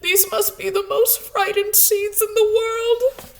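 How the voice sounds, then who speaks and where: A woman voicing an impatient, earnest toad in a children's storybook, with loud, drawn-out emphatic delivery. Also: very high voice, flat delivery, voice pushed up, full character voice. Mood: frustrated, worried. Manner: loud, emphatic, stretched-out exclamations. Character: impatient, earnest toad fretting over his garden seeds. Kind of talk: children's storybook read-aloud.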